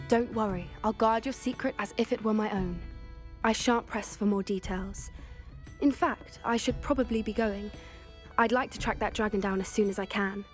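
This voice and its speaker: An actress voicing an earnest, kindly teenage schoolgirl witch in a video game, well-spoken and gentle, with a British accent. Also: mid-range voice, fairly even intonation, moderate pace, close to an everyday voice. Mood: sincere, concerned. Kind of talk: video game dialogue acting. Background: music.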